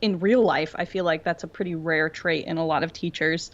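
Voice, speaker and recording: Low-voiced woman on a slightly dull recording. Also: fairly even intonation, fairly quick. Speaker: podcast co-host. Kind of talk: casual pop-culture discussion.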